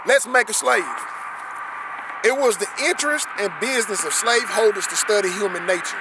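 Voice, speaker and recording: A man's voice: high-pitched, a little fast. A man reading a text aloud on a riverbank and commenting on it, earnestly repeating key phrases for emphasis. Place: outdoors on a riverbank. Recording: loud outdoor noise with passing traffic.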